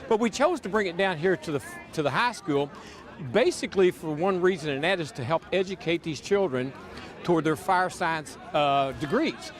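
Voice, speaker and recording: A man's voice: a little high, expressive, moderate pace. A man who is a fire department official, speaking proudly and plainly in an on-site interview outdoors, with some restarts. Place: outdoors at a high school. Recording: crowd noise outdoors.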